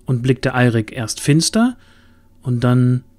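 Male fantasy narrator over soft music. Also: medium pitch, very animated, slow. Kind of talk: scripted fantasy audio drama narration.

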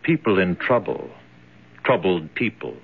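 A polite, formal captor in a radio play, speaking low and with lively inflection. He probes with measured courtesy. The 1970s radio recording is slightly dull. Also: gravelly, slightly rough, full character voice. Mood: calm, courteous. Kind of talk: 1970s radio drama acting.

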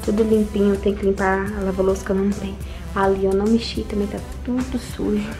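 Young woman, casual vlog voice, handheld phone, clear music bed behind. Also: medium pitch, level tone, fast talker, mellow. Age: young adult. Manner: chatty, addresses viewers directly. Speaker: home vlogger. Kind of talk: casual home tour vlog. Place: house and yard, in and out of doors. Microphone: phone held at arm's length. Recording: music playing underneath.

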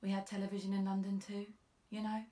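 A woman's voice: mid-range voice, completely flat delivery, fast, dark tone, distant mic, lightly acted. A woman voicing a guarded, reluctant female detective sergeant in an audiobook, with a dry, curt, understated manner. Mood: dry, defensive. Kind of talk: audiobook character voice.

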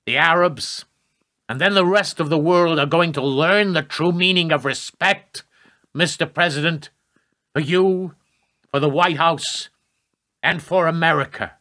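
An actor in a radio play voicing a ruthless, emphatic political adviser pushing for war. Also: male voice, on the high side, measured pace, pushed and bright. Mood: fervent, persuasive. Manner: rhetorical, emphatic speechifying, curt replies. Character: ruthless, forceful male American presidential adviser pushing for war.

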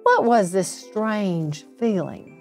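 A middle-aged woman reading a children's bedtime story aloud, with deliberate, drawn-out emphasis on phrases. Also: mid-range voice, lively intonation, faint music behind. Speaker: storybook reader, local insurance agent.

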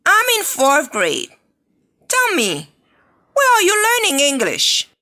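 A woman reading scripted English dialogues and exercise questions for a learners' audio book, clear and deliberate. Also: sits high, wildly swooping pitch, sharp tone. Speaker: textbook audio reader.

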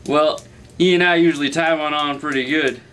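A man's voice: fairly high pitch, steady tone, normal speed, a bit bright, lightly acted. A man acting a folksy, hard-drinking good old boy who talks in a hesitant, roundabout way in a comedy sketch. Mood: folksy, coy. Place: small indoor room, camera mic. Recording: distant camera mic, background room noise.

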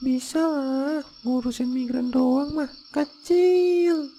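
High-pitched cheeky child ghost. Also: female voice, slow pace, gravelly, mellow, a little hiss or hum, full character voice. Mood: cocky. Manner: childlike, casual, cocky. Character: small cheeky tuyul child ghost, eager to help. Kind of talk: comic cartoon dialogue.

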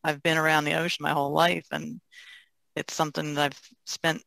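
A woman's voice: sits low, level tone, brisk, vocal fry, a bit bright, dry recording. A woman who works as an artist, talking casually as a podcast guest in a reflective, tentative way. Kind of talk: casual discussion.

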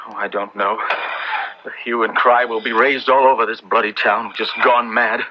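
An actor playing an anxious, compassionate parson in a radio drama, speaking earnestly and urgently in formal, old-fashioned English. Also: male voice, medium pitch, level tone, normal speed, close to an everyday voice. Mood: anxious, tender. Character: large, anxious, compassionate male parson helping a fugitive. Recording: muffled, very noisy old radio recording.

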